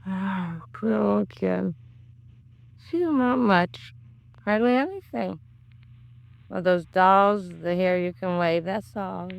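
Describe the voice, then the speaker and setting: A woman's voice: medium pitch, takes it slowly, vocal fry, pauses often, highs cut off. An actress playing a bitter, drunken, grieving mother in a 1950s radio crime drama, with slangy working-class speech and brooding repetition. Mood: grieving, self-blaming.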